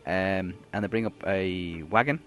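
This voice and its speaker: A man's voice: low-pitched, a little slow, a bit bright. A man narrating as game master, describing a fantasy world in a rambling way with many fillers and restarts. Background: music.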